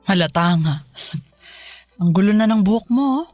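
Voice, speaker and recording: A woman's voice: mid-range voice, animated, moderate pace, darkish tone, no room sound, soft background music, lightly acted. A woman playing a caring, tired middle-aged mother in a Filipino radio drama, speaking in a homely, chatty way. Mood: warm, teasing.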